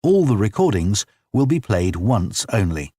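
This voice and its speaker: A man narrates exam instructions formally. His voice sits fairly low with a husky edge, and his intonation moves clearly up and down as he delivers each scripted line. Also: big pitch swings, warm tone, dry recording, clean studio sound. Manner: formal, measured announcing.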